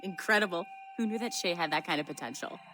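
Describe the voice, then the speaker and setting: An actress plays a brisk, teasing mission colleague in a game. Her lowish voice rings with heavy echo, and music plays behind. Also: lightly acted. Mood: impressed. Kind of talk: video game acting.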